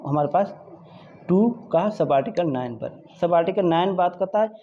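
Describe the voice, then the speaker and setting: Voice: medium pitch, expressive. A lecturer teaches informally for exam students, explaining point by point, repeating key ideas and often checking understanding. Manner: repetitive, checks understanding often with tag questions; switches into English to read out legal definitions slowly. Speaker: law lecturer. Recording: dull, muffled audio with background noise.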